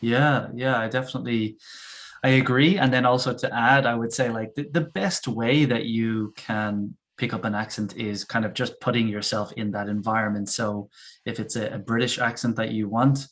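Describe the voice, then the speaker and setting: A man's voice: mid-range voice, fairly even intonation, normal speed, a bit bright. A man who teaches English, chatting casually and enthusiastically, often repeating words as he works out his thoughts.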